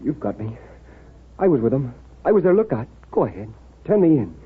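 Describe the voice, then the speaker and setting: An actor playing an evasive wounded nineteen-year-old lookout, curt and edgy, in a 1930s radio drama. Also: male voice, medium pitch, big pitch swings, fairly quick, husky. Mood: resigned. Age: young adult. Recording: muffled, tinny 1930s radio recording.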